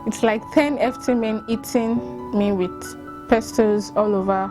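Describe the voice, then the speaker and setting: A woman's voice: on the high side, steady tone, measured pace. A woman with a Nigerian accent, reading accounts aloud and responding to them informally. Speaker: volunteer reader reacting to written accounts. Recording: music bed under the voice. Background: music.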